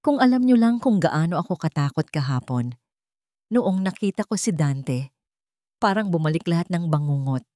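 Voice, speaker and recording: A timid, weary single mother with a Filipino accent, acted by a woman narrator. She is respectful and anxious, pitched a little up, in a dry storybook recording. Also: lively intonation, normal speed, mellow, no echo, lightly acted. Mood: fearful. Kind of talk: storybook character voice.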